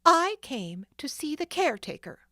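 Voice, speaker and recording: A woman's voice: high voice, big pitch swings, normal speed, bright, forceful tone, no echo, clean studio sound, pitched above the performer's own voice, full character voice. Woman voicing a defiant young sleuth. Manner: cool and defiant, firm.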